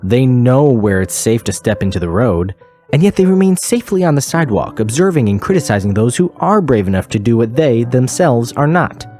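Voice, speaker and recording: A man playing a smug, preachy radio host in a scripted satirical show, pompous and sardonic with dramatic pauses. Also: medium pitch, expressive, darkish tone, soft background music, close to an everyday voice.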